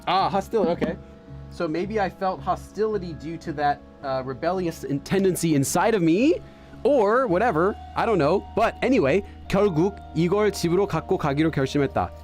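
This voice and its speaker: A man's voice: high-pitched, animated, very fast. Man, gaming host, casual play-along commentary, hesitant fillers, faint music behind. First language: English. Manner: thinking aloud, hesitant, many fillers and restarts. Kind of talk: casual let's-play commentary, translating aloud.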